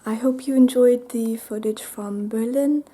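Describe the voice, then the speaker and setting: A woman chatting casually to camera in a vlog, hoarse and half whispering because she has nearly lost her voice, soft and halting with many pauses. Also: a little high, fairly even intonation. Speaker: book vlogger.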